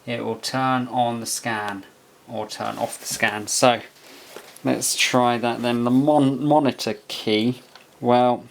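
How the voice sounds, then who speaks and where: A man's voice: medium pitch, steady tone, slow. A man doing a casual hands-on product review, muttering as he thinks aloud and reads bits from a manual. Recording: clear background noise with handling clicks.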